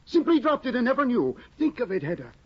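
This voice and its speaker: An actor playing an excitable, fussy young scholar husband in a 1950 radio drama, flustered and exclaiming, on a dull old broadcast recording. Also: male voice, very high-pitched, quick pace, exaggerated voice. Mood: fretful. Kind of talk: 1950s radio drama acting.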